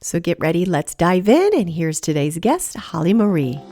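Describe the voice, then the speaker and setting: A woman hosting an interview podcast, her voice a little on the low side. She is sniffly with a cold and speaks in an earnest, enthusiastic way, with the odd filler and restart in her casual chat. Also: very animated, moderate pace, over loud music. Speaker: podcast host. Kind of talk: read introduction, then casual interview chat.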